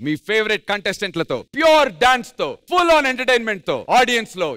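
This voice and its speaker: A man's voice: very high-pitched, very animated, fast talker, bright, forceful tone, clear, resonant tone. A man with a Telugu Indian accent hosting a TV stage show, announcing and trading scripted banter. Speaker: TV show host. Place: TV studio stage. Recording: music behind the stage announcing. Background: music.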